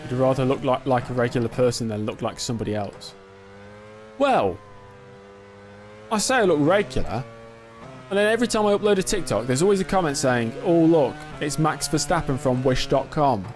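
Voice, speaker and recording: Young male streamer, lively pitch, jokey, faint music underneath. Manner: chatty, joking and self-mocking; quickly excitable under pressure. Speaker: game streamer. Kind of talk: live gameplay commentary.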